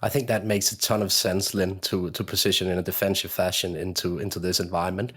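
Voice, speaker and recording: A man co-hosting a podcast, putting questions to a guest in measured, somewhat formal phrasing. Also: low voice, level tone, fairly bright tone, breathy edge. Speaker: podcast co-host, interviewer. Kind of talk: podcast interview.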